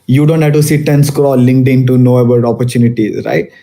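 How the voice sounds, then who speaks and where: A man's voice: medium pitch, fairly even intonation, fast, gravelly, mellow. A young man from Malaysia giving a casual talk to students, with many fillers and restarts. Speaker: guest speaker.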